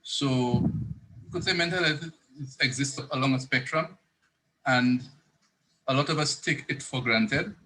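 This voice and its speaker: A man's voice: mid-range voice, measured pace, pushed and bright, slight background noise, poor audio. A man, a psychiatrist explaining mental health in an interview and earnestly rephrasing his points as he goes. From Saint Lucia. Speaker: psychiatrist guest.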